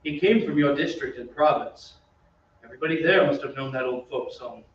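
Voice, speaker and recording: A man's voice: fairly high pitch, brisk, lightly acted. Man playing an ardent young suitor, distant, echoey. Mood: grave. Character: ardent young opera singer, earnest suitor and narrator. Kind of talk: old-time radio drama acting. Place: theatre stage. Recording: very echoey stage, distant, muffled, faint noise.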